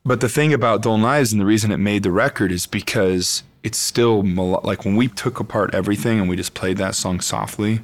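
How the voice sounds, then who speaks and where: A man with a gritty baritone voice, sitting a little low in pitch. He sings and writes songs for a band. He talks about the band's music in a passionate, thoughtful way. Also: brisk, hardly any pauses. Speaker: band singer and songwriter. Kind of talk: interview answer.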